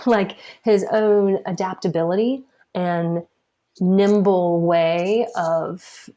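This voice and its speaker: Chatty woman marketing consultant, lowish voice. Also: darkish tone. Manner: chatty, laughs often, restarts phrases. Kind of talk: casual interview conversation. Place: podcast recording.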